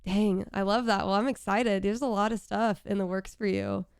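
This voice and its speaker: A young woman with a raspy voice and vocal fry, hosting a casual interview from her home streaming setup. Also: medium pitch, normal speed, no room sound, studio quality. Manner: bubbly, excitable. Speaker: host. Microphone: studio mic with headphones.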